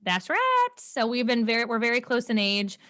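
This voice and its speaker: Higher-voiced, playful woman in her twenties. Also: level tone, fairly quick, fairly bright tone. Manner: playful, upbeat. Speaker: co-host of a sports podcast. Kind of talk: casual sports chat.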